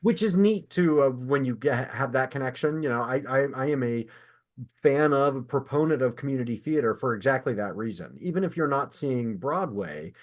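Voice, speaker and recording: A man with a medium-pitched voice speaks as a podcast host in relaxed conversation. He has an animated, anecdotal way of talking. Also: moderate pace. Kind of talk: casual chat.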